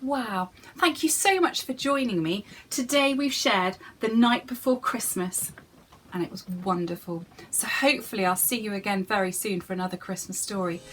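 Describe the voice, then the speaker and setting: A woman's voice: mid-range voice, moderate pace, background noise. A woman reading aloud as a children's storyteller, theatrical and speaking straight to young listeners. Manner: theatrical, addresses young listeners directly with questions.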